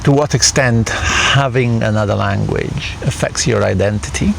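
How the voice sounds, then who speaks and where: Low gravelly man, Italian native speaker. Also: normal speed, noisy background. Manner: thoughtful, hesitant, many restarts. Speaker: interviewer. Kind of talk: casual conversational interview. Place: outdoors in a garden.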